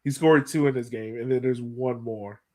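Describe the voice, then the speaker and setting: A man's voice: mid-range voice, steady tone, normal speed, a little mellow. Casual male sports podcast co-host. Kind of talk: casual podcast chat about soccer.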